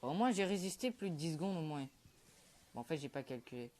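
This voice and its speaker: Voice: medium pitch, lively intonation, fairly quick, darkish tone. A young gamer, chatting casually over his gameplay in a mumbled, offhand way with lots of fillers. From Quebec, Canada. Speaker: gaming YouTuber.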